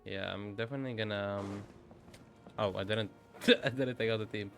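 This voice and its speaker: A man's voice: fairly low pitch, steady tone, normal speed, vocal fry. A man giving casual, chatty live commentary as he plays a game, thinking aloud. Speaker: game streamer. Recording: faint game music behind.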